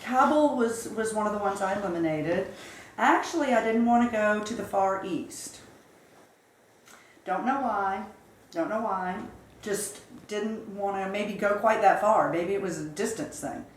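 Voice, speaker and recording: A woman in her fifties from South Carolina, recounting her life story in a measured, reflective way during an interview. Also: mid-range voice, reverberant, slight background noise, poor audio. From Charleston, South Carolina. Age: 50s. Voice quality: measured, reflective, with occasional fillers. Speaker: interview guest.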